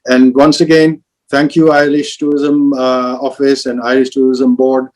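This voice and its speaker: Halting man leading a video call panel. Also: mid-range voice, little pitch movement, darkish tone. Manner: hesitant, many fillers and restarts. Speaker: moderator of travel industry panel. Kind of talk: online panel discussion.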